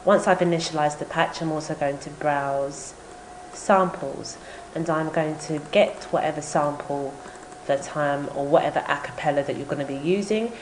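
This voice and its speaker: Female tutorial presenter, low register, informal instructional delivery, slight noise behind the voice. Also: normal speed. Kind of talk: software tutorial narration. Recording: roomy echo with background noise.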